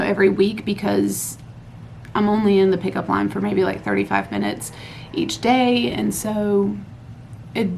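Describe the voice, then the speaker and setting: A woman, hosting a casual craft podcast from home at night, a bit hoarse after losing her voice, chatty and rambling. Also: mid-range voice, a little hiss or hum. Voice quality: hoarse, recovering from lost voice. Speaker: podcast host. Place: home at night, laundry running.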